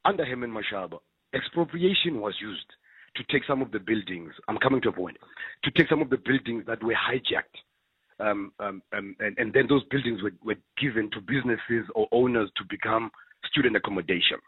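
Husky man on a phone line. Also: on the low side, fairly quick, dry recording. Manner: animated, repeats words when worked up. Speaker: radio caller. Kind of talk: call-in radio discussion. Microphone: telephone.

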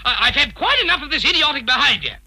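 A blustering schoolteacher who is forever flustered and stammers when he gets indignant. His voice is high and swoops widely, with a gravelly fry and a very bright tone. The delivery is old-time radio comedy. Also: big pitch swings, quick pace, exaggerated voice. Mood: exasperated. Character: flustered, stern male schoolteacher.

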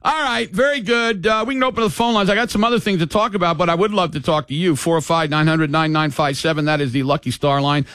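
A man's voice: fairly high pitch, fast talker, few pauses, a bit bright, dry recording. An older man hosting sports talk radio, rambling and opinionated in a casual way.

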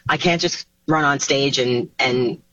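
A woman, a singer taking part in an interview over a video call, answering casually with animated pitch swoops and many restarts. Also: a little slow, no echo. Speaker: singer, guest. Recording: dull, dry video call audio.

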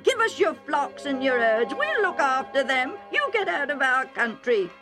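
A woman voicing an excitable male herdsman with rustic working-class speech, agitated and insistent, pleading with his master. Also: high-pitched, normal speed, music in the background, voice pushed up.

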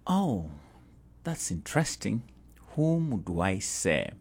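A man's voice: fairly low pitch, sing-song, takes it slowly, darkish tone, husky. A middle-aged man with a Ugandan accent, a pastor talking as a guest in an interview, earnest and prone to repeating himself.

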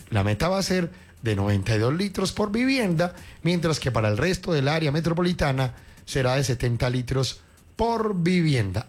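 Colombian radio host, higher-pitched male voice. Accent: Colombian, Paisa. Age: 50s. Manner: conversational, colloquial with diminutives. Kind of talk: reading news with informal chat. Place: radio studio. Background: music under the voice.

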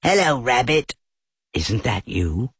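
A man voicing a slow, simple, honey-loving male bear who hums to himself dreamily. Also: medium pitch, sing-song, fairly bright tone, breathy edge, no room sound, studio quality, full character voice. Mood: puzzled.

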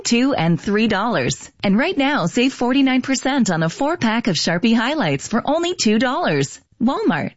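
A woman voices a retail radio ad. Her pitch is a bit high and moves around a lot. The recording is dry and slightly dull. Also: no echo. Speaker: commercial announcer. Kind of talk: retail advertisement voice-over.

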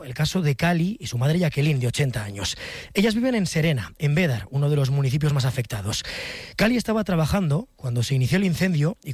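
A man anchoring a live radio news program, reading the news briskly and crisply. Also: a little high, a little mellow, dry recording, clean studio sound.

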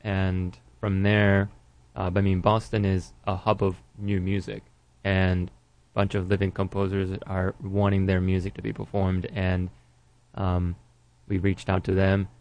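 A man, a clarinetist guest in an interview, speaking in a soft, halting way about performing music. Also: deep voice, completely flat delivery, dull audio. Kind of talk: conversational interview.

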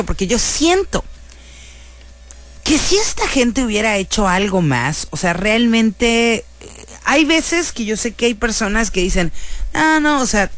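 Animated Mexican woman storyteller. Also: lowish voice. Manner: animated, slangy, acts out quoted dialogue. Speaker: storyteller recounting true-crime cases. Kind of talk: casual chatty storytelling to an audience.

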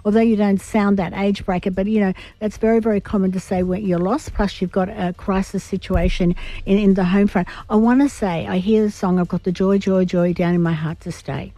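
A woman with a croaky, strained voice, giving psychic readings to radio callers in a chatty, advising way. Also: mid-range voice, steady tone, no room sound, rough recording. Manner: chatty, giving advice warmly and quickly. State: croaky after vocal cord procedure. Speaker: psychic guest.

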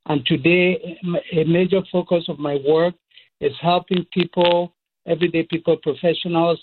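A man with a Cameroonian accent explaining things as an expert interview guest, deliberately, listing points in short phrases. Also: fairly high pitch. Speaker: expert guest, professor and AI researcher. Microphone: phone call. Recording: muffled, thin phone line.

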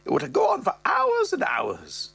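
A man's voice: extremely high pitch, wildly swooping pitch, creaky, a bit bright, slightly rough, dry recording, faint music behind, pitched above the performer's own voice, exaggerated voice. A man putting on a haughty posh old mother. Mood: haughty, droll. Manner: imperious, drawn-out, scandalised. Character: posh elderly mother, haughty, tipsy, blunt.

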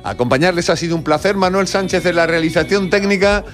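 A man's voice: high-pitched, fast, a bit bright, soft background music. A man with a Spanish accent hosting a casual radio chat, encouraging his guests and interjecting as they talk. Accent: Spanish from Spain. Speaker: radio show host. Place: radio studio.

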